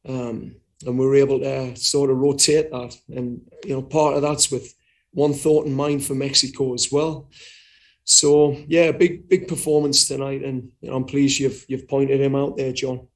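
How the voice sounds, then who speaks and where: A man's voice: medium pitch, little pitch movement, moderate pace. A middle-aged man answering as head coach in a post-match press conference, talking frankly and often repeating words while he thinks, over a video call. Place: indoors before a sponsor backdrop.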